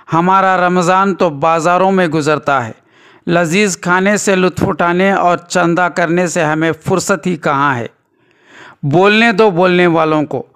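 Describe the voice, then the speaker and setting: A male news reporter with a high, clean and resonant voice. He reads scripted narration and commentary, using formal, rhetorical phrasing. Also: fairly bright tone, clean tone.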